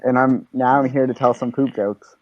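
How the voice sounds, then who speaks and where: A man's voice: medium pitch, steady tone, moderate pace, no room sound. Man in his mid twenties, dry dull recording, slangy, laid-back, joking. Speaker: guest performer. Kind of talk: casual joking chat.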